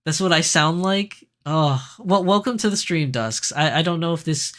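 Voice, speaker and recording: A man with a raspy voice, hoarse from being sick, hosting a casual livestream and answering viewer comments. His voice sits a little high, and he is animated, laughs easily and fills pauses with "um" and "uh". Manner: chatty, animated, laughs easily, frequent fillers. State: sick, raspier than usual. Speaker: livestream host.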